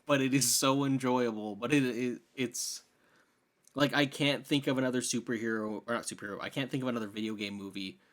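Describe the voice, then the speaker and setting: A man's voice: mid-range voice, fairly even intonation, fairly quick, fairly bright tone. A young adult man co-hosts a casual film podcast. He speaks in an opinionated, emphatic way, dropping slangy asides as he makes his points in loose conversation. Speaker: podcast co-host. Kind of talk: casual film discussion chat.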